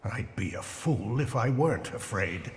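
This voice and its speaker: A man's voice: lowish voice, expressive, unhurried, long reverb tail, a little hiss or hum. An actor playing a wary, sober male ally in a story video game, speaking with cautious seriousness.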